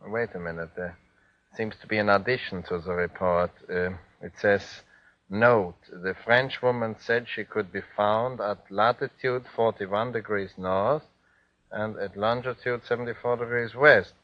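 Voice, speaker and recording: An actor playing an excitable Nazi officer reading out a report, theatrical and halting, in 1940s radio drama style. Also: male voice, lowish voice, dry recording, close to an everyday voice. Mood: hesitant, bewildered. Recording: dull, dry 1940s radio recording.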